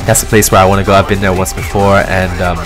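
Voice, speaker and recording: A young man around twenty speaks in a low-pitched voice with an accent that blends London English and American. He talks casually, in the style of a personal gaming commentary. Music plays clearly behind him. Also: little pitch movement, quick pace. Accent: London English mixed with American. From London, England. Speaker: gaming video creator.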